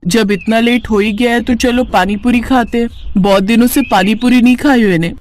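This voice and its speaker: A man's voice: extremely high pitch, fairly even intonation, moderate pace, slight background noise. A man voicing a young married sister-in-law in a cartoon story, affectionate and forthright. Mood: cheerful. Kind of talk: cartoon story character acting.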